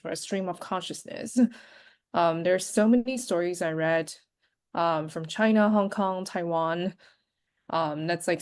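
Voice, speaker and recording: A woman with a slightly low voice talks as a podcast guest. She speaks softly and casually, with frequent pauses, ums and restarts. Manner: soft-spoken, many fillers and restarts. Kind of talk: casual conversation.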